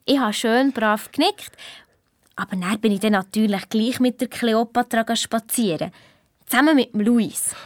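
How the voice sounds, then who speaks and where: A woman's voice: a little high, normal speed, no room sound, studio quality, close to an everyday voice. A woman playing an eager, bossy young girl detective with a Swiss accent, telling her story in a children's radio play. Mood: excited.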